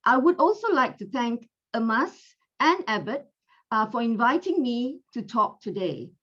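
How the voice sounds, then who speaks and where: A woman from Malaysia with a Malaysian accent, giving an online medical lecture in an unhurried, chatty way with fillers, over a video call. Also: fairly high pitch, very animated, a bit bright. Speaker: guest lecturer, gynecologist. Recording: dull lo-fi audio.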